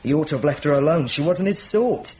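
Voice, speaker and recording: An actor playing a simple, earnest, wounded young village mechanic in a radio drama, speaking plainly and haltingly. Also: male voice, a little high, expressive, darkish tone, natural voice. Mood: wistful.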